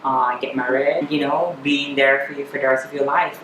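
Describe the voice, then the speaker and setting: A woman in her thirties with a Filipino accent, chatting candidly as an interview guest, often halting and repeating words. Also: extremely low pitch, steady tone. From Philippines. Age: 30s. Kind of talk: personal interview chat. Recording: echoey room with clear background noise.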